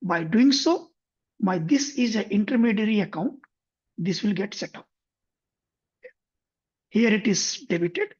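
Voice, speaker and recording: A man guides viewers through a software tutorial in a husky, slightly breathy voice. He moves step by step and rephrases as he goes. The recording sounds dull and lo-fi. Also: high voice, lively intonation, measured pace, long pauses. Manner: methodical, step-by-step, frequent self-corrections. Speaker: software instructor. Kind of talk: screen-share tutorial explanation.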